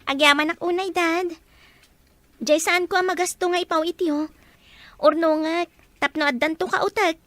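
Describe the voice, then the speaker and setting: A woman's voice: very high-pitched, steady tone, normal speed, long pauses, a bit bright, dry recording. A woman playing a sweet, dutiful college-age daughter in a radio drama. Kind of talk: Filipino radio drama acting.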